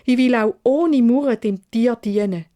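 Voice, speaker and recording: A woman's voice: on the high side, a little slow, dark tone, pitched above the performer's own voice, lightly acted. A dutiful, kind-hearted young maiden acted out by a woman narrator from a storybook, speaking Swiss German. Her voice is lifted and earnest, honest in every phrase. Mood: firm, dutiful. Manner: earnest, emphatic. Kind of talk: storybook fairy-tale character voice.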